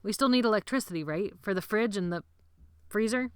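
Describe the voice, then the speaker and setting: A woman's voice: medium pitch, brisk, smooth, no echo, pitched above the performer's own voice, close to an everyday voice. A woman voicing a teenage boy who is uneasy but eager, asking questions earnestly in an audiobook.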